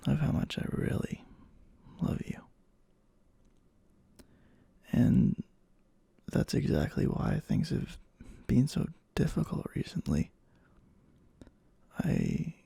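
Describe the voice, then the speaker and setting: A man playing a clingy, obsessively devoted boyfriend in an intimate ASMR roleplay, speaking haltingly in a breathy half-whisper. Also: medium pitch, dark tone, dry recording, studio quality, full character voice. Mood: tender, hesitant. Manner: halting, slow, hesitant.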